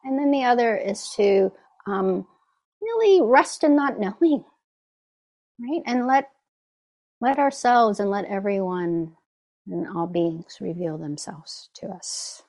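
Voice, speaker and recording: A woman's voice: medium pitch, animated, slow, long pauses, a little mellow. A woman meditation teacher giving a reflective dharma talk, often checking in with "right?" and "you know".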